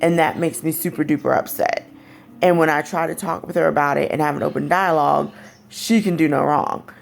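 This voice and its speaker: A young woman with a raspy, deep voice, speaking candidly in a personal vlog. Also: fairly even intonation, fairly quick, a little hiss or hum. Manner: candid, frank and conversational. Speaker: vlogger. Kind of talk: personal storytelling to camera.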